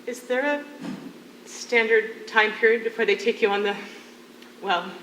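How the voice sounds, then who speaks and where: An actress playing an earnest, idealistic imprisoned singer in a stage drama, with a measured, pleading delivery. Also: female voice, a little high, a bit bright. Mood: tentative, curious. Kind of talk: theatrical stage acting. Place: theatre stage, miked from a distance. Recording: echoey, slightly noisy distant stage recording.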